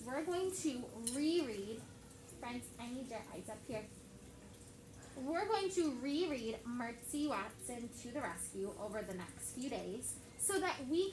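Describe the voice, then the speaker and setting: A young woman teaching a class of children, reading a story aloud and asking the kids lots of questions in a chatty way. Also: on the high side. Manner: chatty, asks the kids many questions, heavy use of "like". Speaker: elementary school teacher. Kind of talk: classroom read-aloud lesson. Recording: echoey classroom with background noise.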